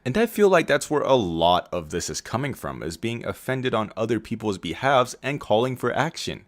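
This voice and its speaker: A man's voice: medium pitch, big pitch swings, normal speed. A man giving personal opinion commentary in a video.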